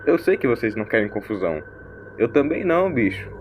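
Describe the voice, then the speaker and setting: A man's voice: mid-range voice, sing-song, fairly quick, lo-fi sound, slight background noise, lightly acted. A man voicing a mysterious, eccentric vagabond in an audio story, drawling slangy words in a theatrical, teasing way. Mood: playful, teasing. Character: mysterious eccentric wandering vagabond, teasing, preachy, ancient but young-sounding.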